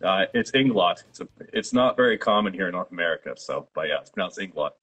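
Low husky-voiced man. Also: fast talker, faint music behind. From Canada. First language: Polish. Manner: steady, detailed storytelling. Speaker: guest, company founder. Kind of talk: interview.

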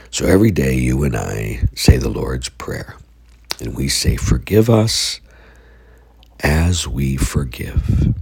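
A man leading scripture readings and a short homily as a priest, sounding stuffy from a sinus infection. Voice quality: gravelly, breathy low voice.

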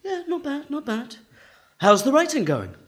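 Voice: high voice, wildly swooping pitch, moderate pace, a bit bright, exaggerated voice. An actor playing an anxious, chummy publishing agent in a radio play, emphatic and placating.

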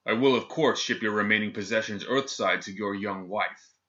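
A man voicing a cold, sadistic male governor general who speaks coolly and with calm menace. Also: on the low side, moderate pace, muffled recording. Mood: cold menacing. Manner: cool, clipped, menacing.

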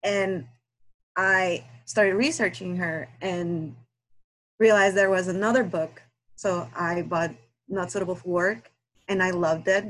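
A woman from Guatemala, a guest on a book podcast, speaking in a hesitant, earnest way with many pauses and fillers. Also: fairly low pitch, steady tone, slight background noise, low-quality recording. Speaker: guest listener and aspiring romance author. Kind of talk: casual podcast chat.

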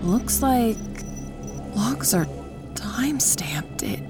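A woman's voice: a little high, big pitch swings, very slow, heavily acted. A woman acting a weary, muttering technician on a space scavenger crew in an audio drama. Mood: weary, puzzled. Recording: loud music behind.

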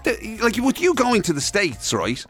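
Irish man, animated intonation, bright forceful voice, teasing radio co-host, casual banter. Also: sits high, moderate pace, soft background music.

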